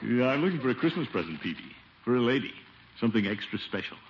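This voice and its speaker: A man's voice: mid-range voice, big pitch swings, fast talker, a little hiss or hum. An actor playing a blustery, pompous, competitive middle-aged man in a 1950s radio sitcom. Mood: eager. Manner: blustery, emphatic. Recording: dull old radio recording with music.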